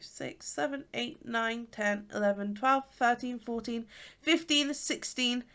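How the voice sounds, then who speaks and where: A woman's voice: a little high, sharp tone. A woman in her twenties from England, congested from a cold, chatting casually and rambling with lots of fillers as a channel host. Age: 20s. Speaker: YouTuber. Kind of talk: casual chat.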